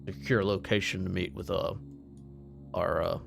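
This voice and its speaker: A man with a low, noticeably gravelly voice plays in a tabletop roleplaying game. He talks casually and haltingly as he improvises, with music clearly audible behind him. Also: fairly even intonation.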